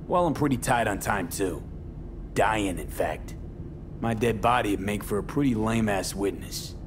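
A man's voice: on the low side, unhurried, background noise, natural voice. An actor playing a tough, wry young male mercenary in a video game, blunt and slangy. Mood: firm, wry.

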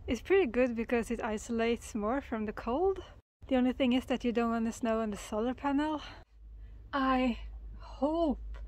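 A woman in a fairly high voice. She explains things informally and with enthusiasm, like someone chatting to a handheld camera on a small boat. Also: a little mellow, poor audio. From Sweden. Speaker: sailing vlogger. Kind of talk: casual explanatory chat to camera. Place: small sailboat, in cabin and covered cockpit, and outdoors at a marina.

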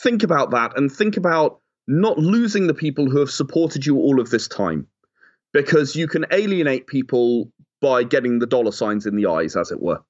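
A man's voice: mid-range voice, lively intonation, normal speed. A man speaking as an interview guest, giving advice and explaining in an earnest, emphatic way. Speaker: interview guest, audio drama and game creator. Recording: slightly dull, lo-fi audio.

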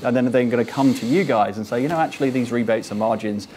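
A man's voice: mid-range voice, darkish tone, slight background noise. A man speaking as a panelist in a conference panel discussion, eagerly jumping in with his point. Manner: eager, interjecting, rapid. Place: conference stage, indoors.

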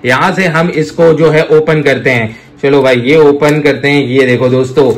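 Effusive higher-voiced man showing off toys. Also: fairly even intonation, normal speed. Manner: effusive, repeats superlatives and addresses viewers as friends. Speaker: toy review host. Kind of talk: casual toy showcase chat mixing in English words.

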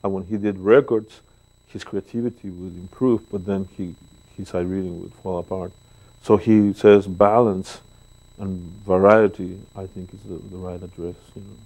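A man in his sixties with a Mexican Spanish accent speaks in a very low, deep voice. He tells stories as an interview guest, pausing on fillers, seated in a living room with a lapel mic. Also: steady tone, poor audio. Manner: warm, storytelling, pauses with fillers. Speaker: guest bass player. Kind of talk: relaxed interview talk.

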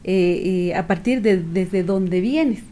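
A middle-aged woman with a Guatemalan accent giving earnest, reflective interview answers in a measured way, with pauses. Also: on the low side, dark tone. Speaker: activist guest. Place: small radio studio with several people. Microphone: studio microphone on stand.

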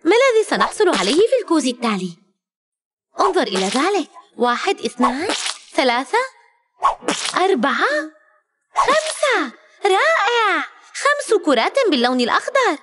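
A woman's voice: extremely high pitch, very animated, normal speed, long pauses, a bit bright. A woman narrating a children's educational cartoon in an exclamatory way, speaking directly to a character.

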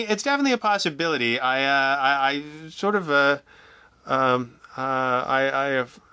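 A man in middle age chats casually in a bright, smooth voice. He circles his thoughts with hesitant, rambling phrasing. The sound is dry and close. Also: medium pitch, no room sound. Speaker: audio drama creator, interview guest. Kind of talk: casual podcast conversation.

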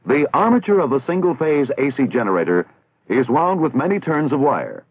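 A man's voice: mid-range voice, sing-song, husky, no echo. Tinny vintage American male training-film narrator. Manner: formal, measured, didactic enunciation. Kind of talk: scripted instructional narration, 1960s military training film. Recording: tinny, band-limited 1960s film soundtrack.

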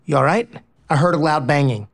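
Easygoing, dim jock. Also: male voice, a little high, fairly quick, gravelly. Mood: casual. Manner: casual, nonchalant, earnest. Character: easygoing, dim, cocky male athlete, womanizer. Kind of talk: romantic comedy audio drama acting.

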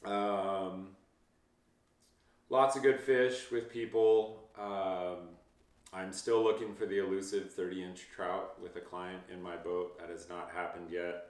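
Man, 30s, fishing guide, low voice, casual and reassuring, recorded at a distance in an echoey home office. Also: level tone, unhurried. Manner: easygoing, reassuring, frequent ums. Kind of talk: casual interview answers. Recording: roomy, distant mic.

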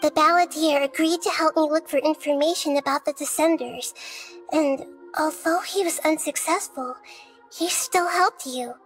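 A woman plays a wise child goddess from a video game. Her voice is high and gentle. She speaks in measured, solemn phrases like a storyteller, over music. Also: lightly acted, rough recording. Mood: grave explaining. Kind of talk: scripted video game dialogue.

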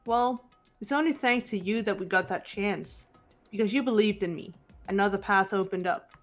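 A woman voicing a composed, analytical teenage girl in a measured, formal way for a fan-voiced visual novel. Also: mid-range voice, soft background music, natural voice. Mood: calm, confident.

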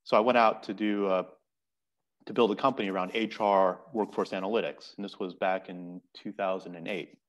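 A man's voice: low-pitched, fairly even intonation, normal speed, muffled recording. A middle-aged man, a podcast guest, explaining step by step in a thoughtful, conversational way over a video call from a home office. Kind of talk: conversational interview answers.

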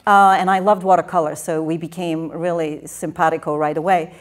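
A woman's voice: low-pitched, little pitch movement, a little fast. A woman in her fifties from Bulgaria, speaking with a Bulgarian accent, telling wry stories on a stage panel into a handheld mic. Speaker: production designer on a panel. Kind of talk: casual storytelling on a panel. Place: theater stage before an audience.

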